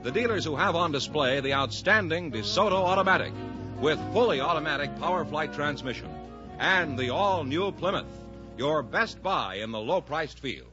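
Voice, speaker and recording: Animated American radio announcer over music. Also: male voice, a little high, moderate pace. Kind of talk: 1950s radio announcing, scripted introduction. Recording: dull vintage radio broadcast recording. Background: loud music.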